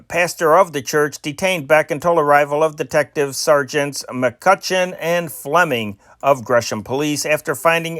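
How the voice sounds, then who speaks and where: A man's voice: fairly high pitch, fairly bright tone, no room sound, clean studio sound. A man reading old newspaper stories aloud, with occasional stumbles and restarts. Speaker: amateur news reader and channel host.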